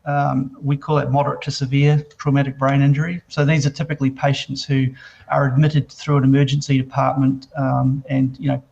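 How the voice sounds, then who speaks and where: A man with a New Zealand accent, a company CEO answering interview questions, halting with many fillers and restarts. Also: medium pitch, monotone, vocal fry, a little mellow, muffled recording.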